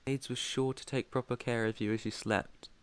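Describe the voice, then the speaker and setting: A British man playing a protective, haunted yet tender vampire master in an intimate ASMR roleplay, speaking softly, earnestly and deliberately. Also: medium pitch, level tone, clean tone, close to an everyday voice. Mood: fond, teasing.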